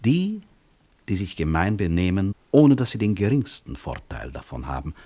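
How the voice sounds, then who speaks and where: An actor playing a dignified doctor and professor in a German radio play, dryly ironic and measured. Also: male voice, deep voice, sing-song, dark tone, slightly rough, exaggerated voice. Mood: wry, reflective. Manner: measured, dry irony. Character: wry, dignified professor and doctor, unbowed after prison.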